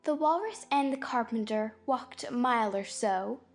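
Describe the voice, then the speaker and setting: A woman's voice: on the high side, lively intonation, moderate pace, faint music behind. A woman playing an agreeable storybook twin brother who recites nursery verse. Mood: storytelling. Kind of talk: dramatized audiobook acting.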